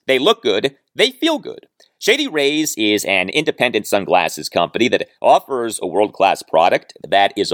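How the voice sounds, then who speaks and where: A man hosting a solo sports podcast, speaking in an emphatic, deliberate way as he delivers commentary and reads. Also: lowish voice, a bit bright, no echo, studio quality. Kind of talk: solo sports commentary and host-read ads.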